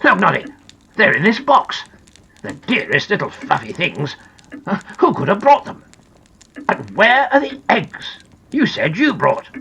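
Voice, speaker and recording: A woman voicing a fussy, scolding storybook gnome gentleman. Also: mid-range voice, big pitch swings, unhurried, vocal fry, long pauses, breathy edge, exaggerated voice, poor audio. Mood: stern, astonished. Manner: exclamatory, reproving. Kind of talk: children's storybook character voice.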